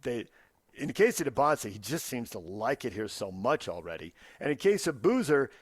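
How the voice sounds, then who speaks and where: A man's voice: on the high side, no echo, clean studio sound. An American man co-hosting a sports radio show, talking in a casual, discursive, conversational way. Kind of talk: casual sports talk commentary.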